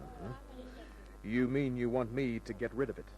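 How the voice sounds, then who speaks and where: An actor playing a cool, wry, hard-boiled private eye in an old-time radio drama. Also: male voice, medium pitch, steady tone, normal speed, a little mellow, lightly acted. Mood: wry, guarded. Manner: laconic, wry.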